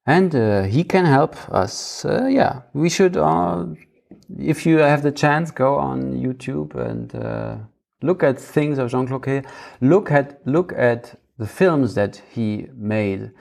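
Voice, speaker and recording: Gravelly, mellow male podcast host. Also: medium pitch, animated. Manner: halting, searching for words. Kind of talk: casual conversational podcast talk.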